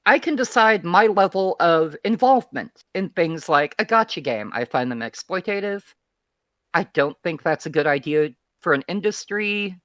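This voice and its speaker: A guest from Texas with a light, softened Texan twang speaks in a bright, crisp tone. The delivery is candid and opinionated but easygoing, like a relaxed home chat. The sound is dry and close to the mic. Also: medium pitch, normal speed, no echo. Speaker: guest, feed curator. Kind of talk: casual conversation.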